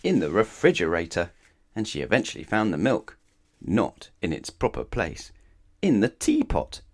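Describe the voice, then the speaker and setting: A man reading a children's bedtime story aloud as narrator, expressively, with dramatic pauses and questions to the listener. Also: mid-range voice, vocal fry.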